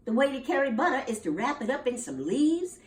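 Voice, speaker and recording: An exasperated storybook mother opossum, acted out by a woman, scolds in a high, swooping voice. She is loud and dramatic, drawing out her words for emphasis. The sound is roomy and distant from the mic. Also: very animated. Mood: exasperated, scolding. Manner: exclaiming, drawn-out dramatic scolding with emphatic repetition.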